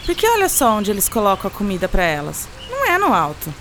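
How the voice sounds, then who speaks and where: A woman's voice: mid-range voice, huge pitch swings, quick pace, fairly bright tone, slight background noise. An actress with a Brazilian accent playing a friendly, knowledgeable woman who patiently explains things in conversational radio drama dialogue, with some teasing. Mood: patient explaining.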